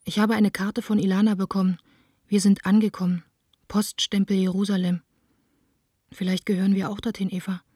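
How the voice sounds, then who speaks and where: An actress plays a young female theatre director in a radio play, frustrated yet defiant. Her voice is mellow and very evenly pitched, in a dry, close recording. She confides her letters in a subdued, literate way. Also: little pitch movement, a little fast, pauses often, slightly rough, dry recording, close to an everyday voice. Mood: weary, yearning. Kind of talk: radio play, letters read as intimate monologue.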